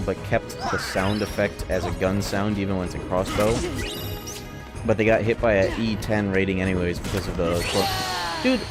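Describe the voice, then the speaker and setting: Male game streamer, deep register, informal sweary chatter, rambling commentary over very loud music. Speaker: game livestreamer. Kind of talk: casual gameplay commentary and chat with viewers. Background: loud video game music.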